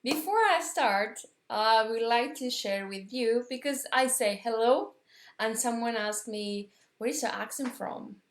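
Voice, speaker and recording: A woman from Argentina with an Argentine Spanish accent, teaching a live metaphysics lesson in English, pausing and restarting as she searches for words. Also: fairly high pitch, roomy sound. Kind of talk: live-streamed spiritual lesson.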